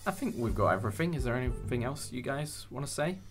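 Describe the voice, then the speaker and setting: A man's voice: medium pitch, lively intonation, fast talker, over music. A young man hosting a casual behind-the-scenes chat about a production, speaking in a hesitant, appreciative way. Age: young adult. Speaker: host and audio drama producer.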